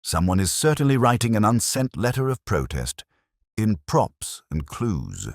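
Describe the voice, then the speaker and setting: A man voicing a shrewd, unruffled police inspector in a mystery story, with a smooth, dry, measured manner. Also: fairly low pitch, big pitch swings, no room sound, pitched above the performer's own voice, heavily acted. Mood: quietly amused.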